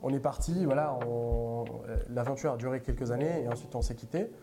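A man's voice: mid-range voice, fairly quick, over music. A man in his thirties, a native Italian speaker, co-hosting a podcast, chatting casually with many fillers and restarts. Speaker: podcast co-host. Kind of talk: casual chat.

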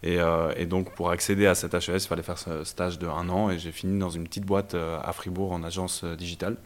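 A young man from Belgium, a startup founder telling his story in a casual interview, relaxed and hesitant with many fillers. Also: low-pitched, fairly quick. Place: startup incubator office.

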